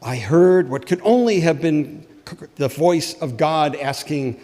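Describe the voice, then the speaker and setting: A man playing a solemn, impassioned ancient Hebrew prophet, delivering a scripted sermon in measured, emphatic oratory. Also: on the high side, mellow, lightly acted. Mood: grave testimony. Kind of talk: dramatic first-person sermon read from a script. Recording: long hall reverb.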